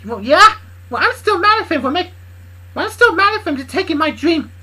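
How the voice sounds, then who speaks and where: A woman's voice: very animated, a little fast, sharp tone, exaggerated voice, rough recording. A woman voicing a defensive, resentful young woman who rambles and stumbles over her words. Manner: rambling, stumbling, high and strained. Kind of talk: improvised fan story voicing.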